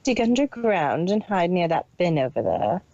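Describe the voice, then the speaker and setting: A woman's voice: lowish voice, sing-song, normal speed, dark tone, dry recording, lo-fi sound. A woman voicing a cold, detached, imperious female pony villain boss in an amateur story reading. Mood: cold, commanding. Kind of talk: amateur fan fiction audio reading.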